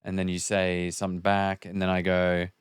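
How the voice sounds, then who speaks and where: A man's voice: sits low, monotone, moderate pace, clean tone, no room sound. A man casually breaking down a script, acting out example lines as he explains. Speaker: podcast guest reading and breaking down scripts.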